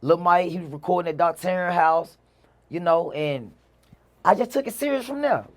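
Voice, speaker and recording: A man's voice: high voice, expressive, moderate pace. Southern man with a Mississippi drawl, slangy. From Mississippi, USA. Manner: slangy, repeats himself, frequent "you know". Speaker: interview guest, rapper. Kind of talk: casual conversational storytelling.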